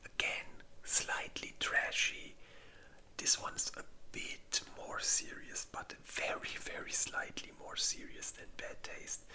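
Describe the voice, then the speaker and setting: ASMR creator for a casual ranking video: all whisper, very bright and sibilant, informal, halting phrasing. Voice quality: breathy, unvoiced whisper. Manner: unhurried, halting, informal delivery.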